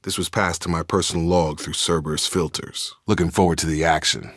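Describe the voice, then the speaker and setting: Sci-fi game soldier, deep voice, clipped military talk, loyal and earnest, very dry sound. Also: male voice, fairly even intonation, fairly quick, a bit bright, no room sound. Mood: steady, serious. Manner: clipped, military, straight-talking. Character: loyal, earnest young male space soldier, calm professional squadmate. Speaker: video game voice actor. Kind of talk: video game character dialogue.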